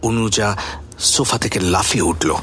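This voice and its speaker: A man's voice: lowish voice, a little slow, a bit bright, a little hiss or hum. A man giving scripted, dramatic narration for an audio story.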